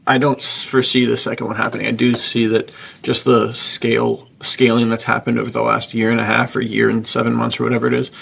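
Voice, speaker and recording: A man co-hosting a podcast, chatting casually and enthusiastically in slangy language with a husky, gravelly voice. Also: medium pitch, completely flat delivery, fast, hardly any pauses, a bit bright. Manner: enthusiastic, slangy, says dude. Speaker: podcast co-host and publisher.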